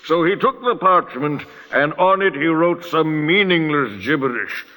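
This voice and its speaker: An actor playing a calm, authoritative judge in a 1940s radio drama, formal and deliberate. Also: male voice, fairly high pitch. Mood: commanding, assured. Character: authoritative, calmly reasoning English judge. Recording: reverberant old radio drama recording.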